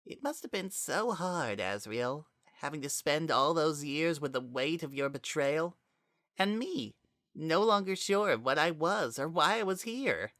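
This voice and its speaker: A menacing child spirit in a stolen monster body , as a narrator acts it out, speaks in a bright, raised voice. The delivery is theatrical and slow, coaxing and fervent, with a hollow, maddened edge. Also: mid-range voice, big pitch swings, no room sound, clean studio sound, voice pushed up. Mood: wistful, sinister. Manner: slow, theatrical, coaxing monologue with deliberate pauses. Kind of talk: dramatic reading of fan fiction.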